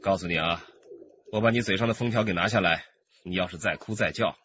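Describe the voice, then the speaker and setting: An actor playing a gruff, threatening kidnapper who bullies a captive child in a radio drama. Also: male voice, sits low, fast, fairly bright tone, highs cut off. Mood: taunting, threatening. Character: menacing male kidnapper bullying a captive child.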